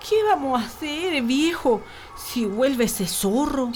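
A woman's voice: sits high, big pitch swings, takes it slowly, a little mellow, a little hiss or hum, full character voice. A woman playing an anxious, nagging country wife in a radio drama, fretful and urgent, with a Chilean accent. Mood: worried.

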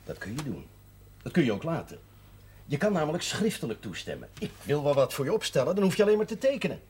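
Friendly priest, 1970s TV drama: informal, persuasive, higher-set and very animated delivery. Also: male voice, quick pace, husky, heavily acted. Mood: reasonable. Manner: informal, reasoning, gently wry. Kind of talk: naturalistic 1970s television drama acting. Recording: audio of 1970s television broadcast, music.

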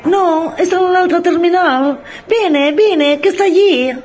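Excitable Catalan female friend, played by a woman: high, slow-stretched exclamations, bossy, for radio comedy drama. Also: soft background music. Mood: urgent, impatient. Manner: exclamatory, insistent.

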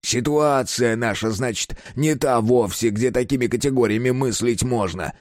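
A man's voice: medium pitch, moderate pace, dry recording, studio quality, lightly acted. A man voicing a sly, corrupt village policeman who wheedles and then hisses threats. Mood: menacing. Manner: wheedling, then hissing and menacing, filler-heavy patter. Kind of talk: audiobook character voice.

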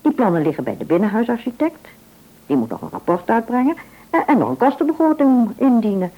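An actress playing a tactful, self-assured shop owner's wife who probes politely and deliberately in a radio play. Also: female voice, fairly high pitch, big pitch swings, narrow, tinny audio. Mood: reassuring, tactful. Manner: polite, deliberate, gently probing. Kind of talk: naturalistic radio play acting.

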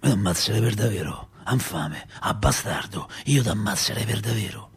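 A man's voice: lowish voice, expressive, normal speed, husky, voice pushed down, heavily acted. An actor playing a vicious armed policeman in a radio drama, spitting menacing insults with a Roman accent. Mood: cold menace.

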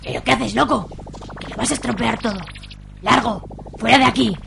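A woman's voice: on the high side, fairly quick, vocal fry, a bit bright, a little hiss or hum. A woman acting a bossy, exasperated mother and amateur sorceress, commanding and theatrical, in an amateur radio soap opera. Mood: furious.

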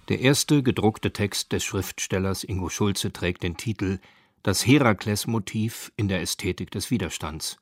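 A man narrating a scripted radio documentary in a measured, factual way. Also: on the low side. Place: dry studio.

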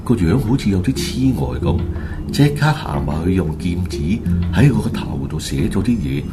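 Deep-voiced Hong Kong Cantonese male narrator. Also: animated, moderate pace, over loud music. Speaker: radio drama narrator. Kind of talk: scripted story narration.

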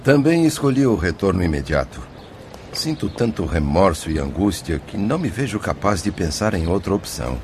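Deep, anguished, guilt-ridden spirit. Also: male voice, sing-song, moderate pace, a little mellow, slight background noise. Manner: heavy, confessional. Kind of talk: radio drama acting.

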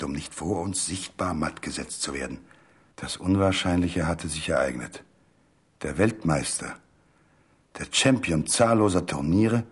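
A man reading a literary story as a scripted dramatic narration, measured and full of suspense. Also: deep voice, breathy edge.

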